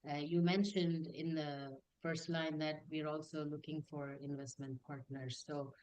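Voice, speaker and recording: A woman's voice: low voice, level tone, measured pace, a little mellow, smooth, poor audio. A woman moderating an online session, politely closing questions and handing over. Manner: polite, wrapping up.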